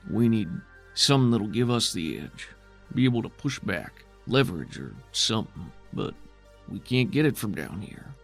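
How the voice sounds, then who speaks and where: Tabletop RPG role: gruff, folksy settlement man, acted by a male game master, gravelly, weary and stubbornly skeptical. Music underneath. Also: on the low side, sing-song, normal speed, exaggerated voice. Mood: grimly defiant. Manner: folksy drawl-like grammar, plainspoken and skeptical.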